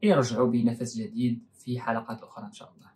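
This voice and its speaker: A man's voice: mid-range voice, steady tone, rapid-fire, a little mellow. A man co-hosting a podcast, talking casually to viewers and switching between two languages. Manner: switches between French and Arabic. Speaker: podcast co-host.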